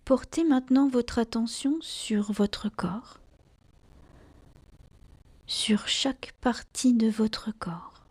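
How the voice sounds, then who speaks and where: Female meditation guide: soft, breathy near-whisper, dark and relaxed tone, soothing short phrases with long pauses. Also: on the high side. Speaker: yoga nidra guide.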